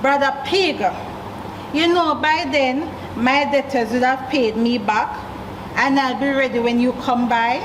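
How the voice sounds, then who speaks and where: A woman's voice: high voice, a little slow. A woman voicing a clever, tricky male spider in a children's story, pleading and slyly coaxing. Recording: roomy, distant mic, noisy background.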